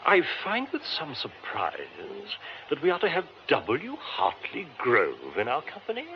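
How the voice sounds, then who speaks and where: Pompous, touchy theatre grandee, British, theatrical, very animated, muffled noisy radio recording. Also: male voice, medium pitch, unhurried. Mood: indignant. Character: pompous, touchy, self-important male stage actor. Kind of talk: British radio drama acting. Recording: muffled radio drama recording, clear background hiss.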